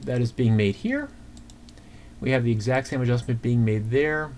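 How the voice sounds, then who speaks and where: A man giving an informal screencast accounting lesson, talking through calculations step by step in a conversational, halting way. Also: medium pitch, darkish tone. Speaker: accounting instructor. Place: indoors at a computer. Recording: clear background noise, keyboard typing.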